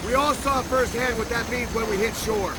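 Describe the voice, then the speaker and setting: An actor playing a frustrated American marine, urgent and blunt, in war video game dialogue. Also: male voice, extremely high pitch, normal speed, very noisy. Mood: tense frustration. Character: frustrated male American marine under wartime strain.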